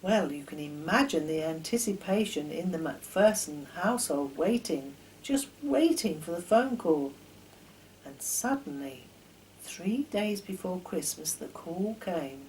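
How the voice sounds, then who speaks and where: An older woman reading a children's story aloud in an expressive, deliberate storytelling manner. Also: on the low side, slight background noise, low-quality recording. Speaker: author reading her own children's stories. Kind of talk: storybook narration.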